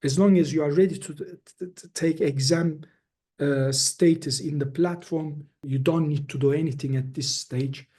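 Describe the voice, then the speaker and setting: A man's voice: fairly high pitch, steady tone, moderate pace, warm tone. A man answering questions as an expert guest in a webinar, explaining carefully and hesitantly, often restarting phrases, heard over a video call.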